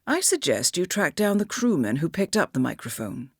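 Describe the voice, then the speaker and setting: An actress playing a poised, dry-witted intelligence handler in a game, with a composed, clipped, wryly understated delivery. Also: female voice, deep voice, sing-song, moderate pace, no echo, heavily acted. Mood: wry, intrigued. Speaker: mission handler. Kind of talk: scripted game briefing dialogue.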